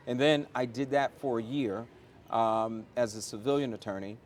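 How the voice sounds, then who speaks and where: A man's voice: mid-range voice, steady tone, moderate pace, slight background noise. A middle-aged American man answering interview questions conversationally, with an emphatic, motivational manner and a storyteller's use of examples. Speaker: interview guest, airport chief executive.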